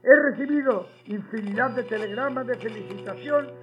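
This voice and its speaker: A man's voice: very high-pitched, normal speed, faint music behind. A man playing a boastful, sneering fascist general giving a 1930s wartime propaganda address on the radio. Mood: smug sneering. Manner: boastful, sneering, mock-sincere. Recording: dull, band-limited old radio sound.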